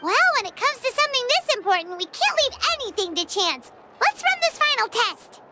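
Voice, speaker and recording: An actress voicing a tiny, earnest, childlike companion creature with a squeaky voice in a video game. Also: female voice, very animated, brisk, very noisy. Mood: relieved, earnest.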